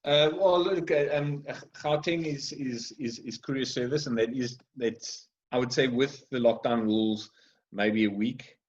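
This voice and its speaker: A man answering interview questions over a call, halting and often repeating words. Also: mid-range voice, steady tone, lo-fi sound. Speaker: wine trade guest.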